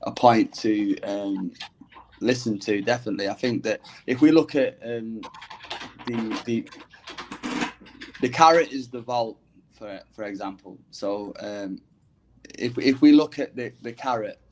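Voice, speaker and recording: A man with a Manchester accent and a slight Irish lilt, talking in a casual discussion, pausing often with ums and restarts. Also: on the low side, a little mellow, slight background noise, rough recording. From England. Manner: hesitant, many ums and restarts.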